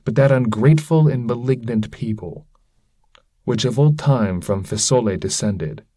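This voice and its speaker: A man's voice: fairly low pitch, mellow, no room sound, heavily acted. A man voicing a damned scholar's spirit, a fatherly, bitter old mentor who speaks in grave, archaic oratory within a poetry reading.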